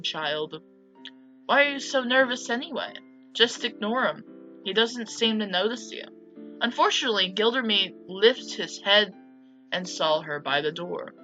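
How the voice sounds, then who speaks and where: A woman reading a visual novel aloud as a let's player, in an expressive, theatrical, giggly way. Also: medium pitch, highs cut off. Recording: music playing behind the voice. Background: game music.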